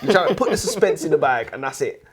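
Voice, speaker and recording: Animated man, slangy and emphatic. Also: fairly high pitch, fast talker, husky. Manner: slangy, emphatic, repeats phrases. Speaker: book review podcast co-host. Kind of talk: casual banter and book discussion.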